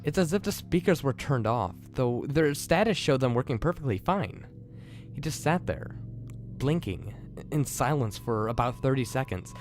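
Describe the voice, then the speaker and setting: A man's voice: medium pitch, animated, normal speed, faint music behind. A man hosting and narrating a scripted creepypasta horror story. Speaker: host and narrator.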